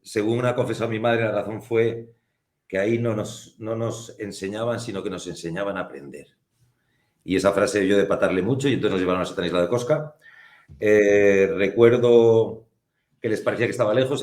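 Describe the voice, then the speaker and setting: A low-pitched man talks as an interview guest, reflective and unhurried. His voice carries a noticeable room echo. He pauses often as he thinks aloud. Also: darkish tone. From Spain. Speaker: guest, film producer. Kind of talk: casual interview conversation.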